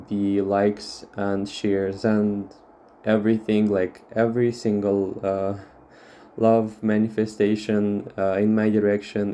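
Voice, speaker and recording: Low, mellow man with a Russian accent. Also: slight background noise. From Moldova. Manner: hesitant, many fillers and restarts. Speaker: tarot reader. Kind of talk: casual talk to camera.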